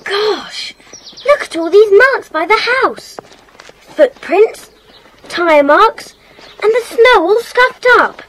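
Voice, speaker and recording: A woman playing an observant, decisive schoolgirl detective with a brisk, earnest manner in a children's audio drama. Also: very high voice, animated, a bit bright, heavily acted.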